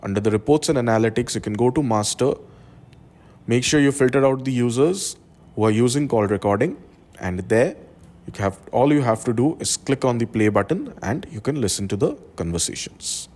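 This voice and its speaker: A male presenter narrates an instructional software tutorial. His voice sits at a medium pitch. He explains the process one step at a time, as a guide to the viewer. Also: animated, fairly quick.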